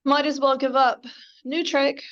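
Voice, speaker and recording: A woman's voice: high voice, flat delivery, a little slow, dull audio. A woman playing a sardonic scheming baboon. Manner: dry, sardonic, dismissive. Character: clever scheming male baboon. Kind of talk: amateur radio drama table read.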